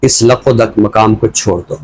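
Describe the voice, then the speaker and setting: A man with a Pakistani accent speaking in a measured, deliberate way, phrase by phrase, as in a literary talk or recital. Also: on the low side, steady tone, a little mellow, low-quality recording. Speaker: literary show presenter reciting a poem and introducing a book.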